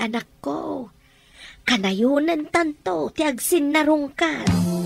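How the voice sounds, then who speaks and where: A woman's voice: sits high, gravelly. A woman playing a fond, doting mother in a radio drama, speaking in an affectionate, entreating way. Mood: wistful, fond.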